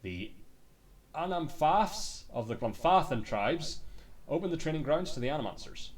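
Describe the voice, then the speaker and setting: A man's voice: on the high side, sing-song, moderate pace, distant mic. A man streaming a game and reading its books aloud as theatrical storytelling, dropping into muttered, sweary asides.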